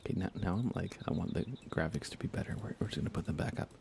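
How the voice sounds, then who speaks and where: Man, low-pitched, soft-spoken and whispery, creaky fry, casual ASMR game streamer. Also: rapid-fire. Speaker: livestream host. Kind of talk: ASMR gaming stream, hushed casual chat. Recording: faint computer fan noise. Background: computer fans, game ambience.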